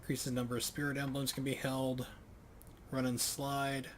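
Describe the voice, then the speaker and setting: A man plays through a game on stream and reads the on-screen tutorials aloud. He stumbles and corrects himself as he reads, with his voice at an ordinary middle pitch. A faint hum sits behind him. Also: completely flat delivery. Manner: self-correcting, halting reading aloud. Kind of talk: thinking aloud while reading game tutorials.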